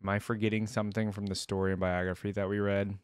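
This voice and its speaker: A man's voice: on the low side, little pitch movement, fast talker, smooth, no echo, clean studio sound. A man hosting a reaction video in casual commentary, often repeating words and quoting back what was said.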